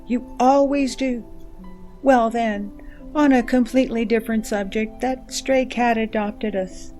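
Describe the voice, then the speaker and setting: Woman as female starship computer, caring, measured, plain-spoken, slightly high pitch, audio drama. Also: steady tone, mellow, soft background music, close to an everyday voice. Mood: gently encouraging. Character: caring female ship computer reading out a mother's message. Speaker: voice actor. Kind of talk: audio drama, reading a letter aloud.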